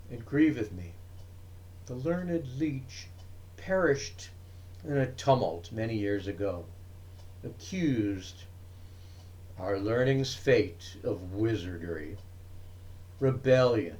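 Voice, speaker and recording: A man's voice: mid-range voice, a little mellow. A man voicing a learned, troubled ancient physician in a dramatic poem, speaking in a formal, pensive, emphatic manner.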